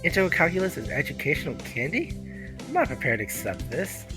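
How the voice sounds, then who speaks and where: A man voicing an earnest, excitable teenage schoolgirl in a visual novel, with wry inner monologue over music. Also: a little high, quick pace, poor audio. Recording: music behind the voice.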